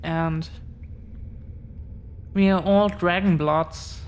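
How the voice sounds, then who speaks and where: Woman acting very slow-spoken, earnest dragon-blooded girlfriend. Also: fairly low pitch, fairly even intonation, darkish tone, clear, resonant tone. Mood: earnest, solemn. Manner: halting, earnest, deliberate pauses. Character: affectionate, protective dragon-blooded girlfriend confessing her secret. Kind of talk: intimate audio roleplay.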